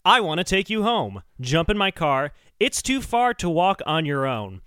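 Male comedy podcast co-host: bright, forceful, very lively intonation, playful arguing, dry close sound. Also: fairly high pitch, normal speed, smooth, dry recording. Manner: animated, mock-argumentative. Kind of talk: comedic podcast banter about a song.

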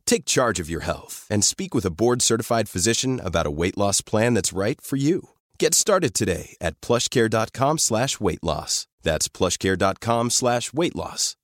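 A man's voice: lowish voice, big pitch swings, moderate pace, few pauses, no room sound, clean studio sound. A man reading a scripted advertisement voice-over. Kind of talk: scripted ad narration.

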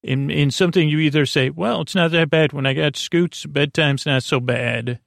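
Creaky, meandering male bedtime podcast host. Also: on the high side, normal speed, a bit bright, no echo, clean studio sound. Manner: meandering, hesitant, forgetful, often losing his thread. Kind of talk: rambling bedtime podcast intro monologue.

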